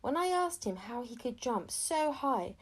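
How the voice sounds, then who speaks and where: Female audiobook reader, higher-pitched voice, expressive children's storytelling with acted dialogue. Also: unhurried, slightly rough. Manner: acts out dialogue between narration.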